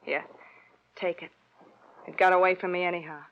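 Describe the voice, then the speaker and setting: A woman's voice: on the low side, level tone, normal speed, natural voice. Weary, guarded young American woman, played by an actress, subdued delivery, vintage radio crime drama, muffled recording. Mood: confiding. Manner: subdued, short fatalistic sentences. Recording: dull old radio recording.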